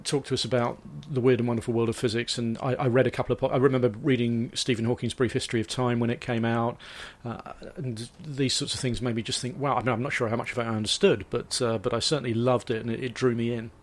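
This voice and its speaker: A man in his fifties co-hosting a podcast, chatting enthusiastically with quick wit. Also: medium pitch, flat delivery. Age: 50s. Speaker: podcast co-host and physics teacher. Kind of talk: casual chat. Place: garden summer house.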